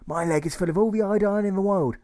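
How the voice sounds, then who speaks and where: A man's voice: high-pitched, fast, warm tone, dry recording, clean studio sound, voice pushed up, natural voice. A man voicing a poorly, exclamatory wounded patient in an audiobook. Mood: charming.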